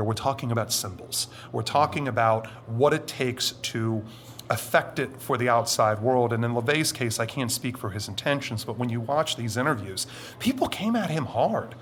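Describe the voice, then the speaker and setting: A middle-aged man with a deep voice talks through his answers in a measured, explanatory way, leaning on certain phrases and stretching them out. A faint hiss of background noise sits under the recording. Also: a bit bright. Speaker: interview guest. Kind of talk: conversational interview answers.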